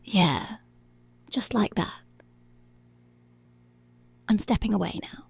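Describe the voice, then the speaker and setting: A woman playing a dominant, teasing, seductive policewoman in an intimate ASMR roleplay, with a breathy, whispery voice and slow, deliberate, commanding delivery. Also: mid-range voice, exaggerated voice. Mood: teasing, controlling. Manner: slow, deliberate, controlling, drawn-out phrases.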